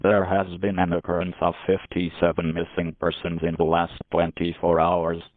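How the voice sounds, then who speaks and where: A dull, band-limited recording of a man with a very low voice and a dry, close sound. He delivers a scripted emergency alert as an announcer, formal and measured throughout. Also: monotone, dry recording. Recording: muffled, band-limited lo-fi audio.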